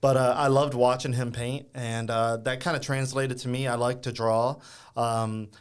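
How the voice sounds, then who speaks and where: A man hosting a casual podcast interview, easygoing and hesitant, filling pauses with ums and uhs. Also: mid-range voice, level tone, clear, resonant tone. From Louisiana. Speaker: podcast host.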